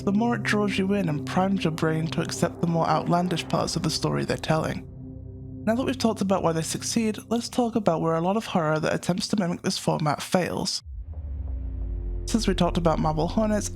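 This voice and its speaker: A man narrating a scripted analytical video essay as its host, in a measured, explanatory manner. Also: a little high, vocal fry.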